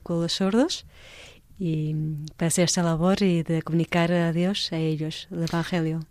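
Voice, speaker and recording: Portuguese-born woman, halting, smooth voice. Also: lowish voice, steady tone, darkish tone, dry recording. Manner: halting, searching for words, many restarts. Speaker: religious sister, guest. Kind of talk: radio interview.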